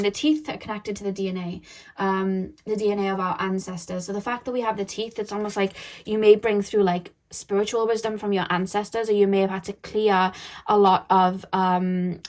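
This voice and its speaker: A young woman talks through a tarot reading in a loose, casual way, circling her points with lots of fillers and restarts. Her voice sits at an ordinary middle pitch and turns a little shaky as she goes. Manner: rambling, many fillers and self-corrections. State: voice getting shaky. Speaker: tarot reader.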